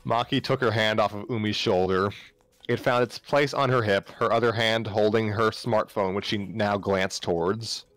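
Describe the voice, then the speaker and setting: A man's voice: on the low side, fairly even intonation, normal speed, a bit bright, no echo, rough recording. A man narrating a story live on a stream, often stumbling and repeating phrases as he reads aloud. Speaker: narrator, livestream reader. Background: background music.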